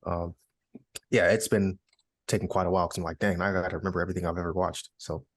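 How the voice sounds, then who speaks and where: Man, podcast co-host, low voice, fast pace, slangy casual talk. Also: level tone, breathy edge, no room sound, clean studio sound. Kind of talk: casual chat.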